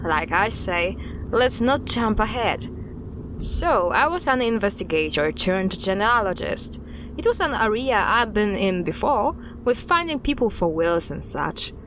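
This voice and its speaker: A woman playing a guarded investigator in an audio drama, recounting an unsettling case in a halting, deliberate way. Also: medium pitch, very animated, noisy background. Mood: measured, guarded. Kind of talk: audio drama dialogue, storytelling.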